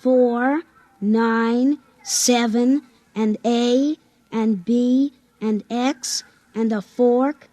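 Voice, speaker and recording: A woman playing an obedient, easily puzzled young child with halting speech in a 1950s radio drama. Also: a little high, fairly even intonation, mellow, dry recording, poor audio. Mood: puzzled, dutiful.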